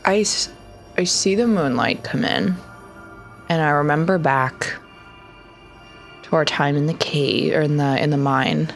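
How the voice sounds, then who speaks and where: A woman's voice: sits low, measured pace, long pauses, faint music behind. A woman playing a tabletop role-playing game, casually describing what her character says and does. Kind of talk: casual in-game chat and narration.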